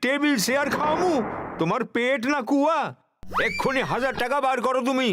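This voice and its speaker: A man's voice: extremely high pitch, brisk, background noise. A man voicing a grumpy, scolding snack seller in a cartoon, with an eastern Bangladeshi dialect. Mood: angry. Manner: blustering, scolding. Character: grumpy male tea-stall samosa seller demanding payment. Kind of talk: exaggerated cartoon dub acting. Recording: music behind the voice.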